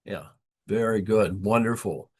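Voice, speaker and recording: A man's voice: fairly low pitch, unhurried, breathy edge. An older man who is a grandparent, hosting a monthly conversation series and interviewing a guest with frequent hesitations and fillers. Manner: warm, hesitant with many fillers. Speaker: host.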